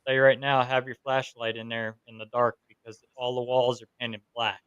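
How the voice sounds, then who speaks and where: A man's voice: mid-range voice, flat delivery, normal speed, a bit bright, clean tone, highs cut off. A man from New York hosting a podcast, telling stories from investigations in a casual interview chat. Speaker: podcast host.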